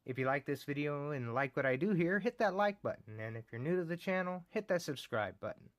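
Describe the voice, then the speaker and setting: Chatty Texan man with an excited drawl. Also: a little high, a little fast, no room sound. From Texas. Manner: enthusiastic, rambling, says y'all, chuckles often. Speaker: lock collecting channel host. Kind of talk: casual show-and-tell vlog.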